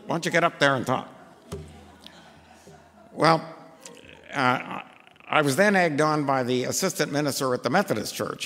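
A man's voice: mid-range voice, gravelly, a bit bright. An older man, a pastor, preaching a sermon from a pulpit, telling personal stories in a rambling way with many fillers. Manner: rambling, anecdotal, with frequent fillers. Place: church sanctuary, at a pulpit. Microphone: gooseneck pulpit mic. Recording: church hall reverb.

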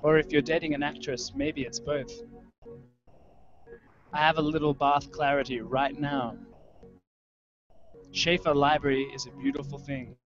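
A man in his thirties giving a measured, poetic literary reading. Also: a little high, level tone. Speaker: writer reading his own fiction. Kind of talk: literary reading over a live soundscape. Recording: dull lo-fi web stream audio. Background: music.

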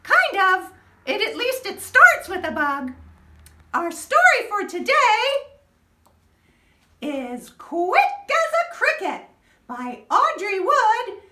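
A woman voicing an excitable, cheerful little girl puppet in a children's story time, exclaiming in an exaggerated sing-song way. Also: very high-pitched, pitched above the performer's own voice, exaggerated voice. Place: indoors, living room. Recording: echoey living room.

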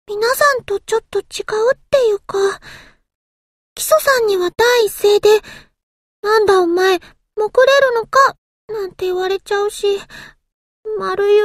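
A woman's voice: extremely high pitch, dry recording. A woman voicing a small, timid, earnest anime game submarine girl, with a thin childlike voice and a hesitant, polite manner. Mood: timid, downcast. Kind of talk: anime game character voice lines.

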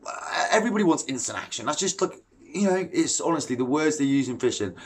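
Fishing vlogger, man in his thirties, animated and blunt, talking to a webcam in his living room. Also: on the high side, fast talker. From England. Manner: blunt, animated and exasperated, gestures while talking. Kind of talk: ranting to camera. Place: living room at home, indoors. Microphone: webcam at desk distance.

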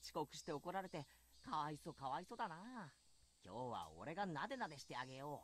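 Lively teenage anime boy. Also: low voice, a little fast, noisy background. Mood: playful. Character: energetic teenage schoolboy in an anime game. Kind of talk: Japanese anime game voice acting.

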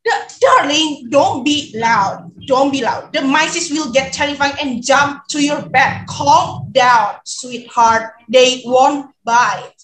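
Young woman playing bossy, high-pitched cruel stepmother. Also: slow, hardly any pauses, a bit bright. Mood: flustered, soothing. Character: cruel, scolding stepmother who dotes on her daughter. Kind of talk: readers theater acting on video call. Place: indoors on a video call. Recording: roomy echo, background music.

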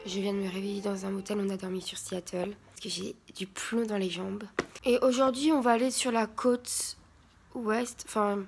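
Une jeune femme d'une vingtaine d'années qui raconte son road trip en vlog, bavarde et essoufflée après la montée, filmée au téléphone tenu à bout de bras. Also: female voice, mid-range voice, steady tone, normal speed, creaky, over music. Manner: chatty, breathless after climbing. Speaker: travel vlogger. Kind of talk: casual travel vlog. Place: outdoors on mountain trails and in a motel room. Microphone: phone held at arm's length.

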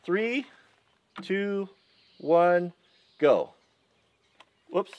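A man's voice: high voice, lively intonation, lo-fi sound, heavy background noise. A man in his forties testing tools outdoors, talking casually with many restarts and fillers. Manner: rambling, halting, full of restarts and fillers. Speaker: vlogger testing chainsaws. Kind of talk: casual hands-on demonstration. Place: outdoors in a yard by a tractor.

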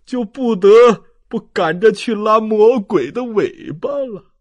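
A man's voice: high voice, lively intonation, takes it slowly, no echo, voice pushed up. A gushing old grandfather, swooping wildly. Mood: lamenting, theatrical. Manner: effusive, dramatic sighs and exclamations. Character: doting, gushing, excitable old French grandfather.

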